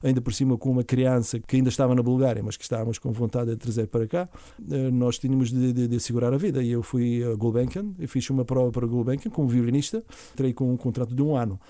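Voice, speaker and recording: A middle-aged man from Bulgaria speaking with a Bulgarian accent, recounting his story in an interview in a halting way, with restarts. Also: mid-range voice, fairly even intonation, mellow, no room sound, studio quality. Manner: halting, with frequent restarts and fillers. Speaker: interview guest, violinist and orchestra founder.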